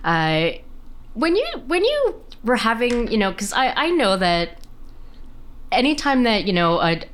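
A woman in her forties hosting a podcast, chatty and expressive, sometimes laughing as she asks questions. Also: fairly high pitch, unhurried, a little hiss or hum. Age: 40s. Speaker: podcast host. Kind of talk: casual podcast interview.

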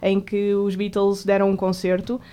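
Podcast co-host, Portuguese woman, warm mellow voice, measured trivia storytelling. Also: mid-range voice, completely flat delivery. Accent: European Portuguese. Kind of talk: casual chat about music.

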